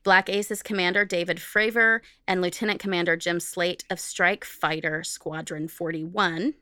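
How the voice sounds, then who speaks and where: A woman's voice: fairly low pitch, steady tone, a little slow, pushed and bright, dry recording, studio quality. A woman co-hosting a podcast, reading from notes in an informal, jokey way and carefully repeating technical terms. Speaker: podcast co-host.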